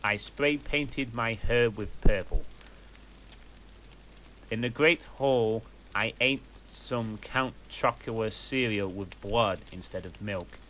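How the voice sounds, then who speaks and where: A man doing a slow, deadpan comic reading of a fan story. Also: lowish voice, a little hiss or hum, rough recording. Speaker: reader.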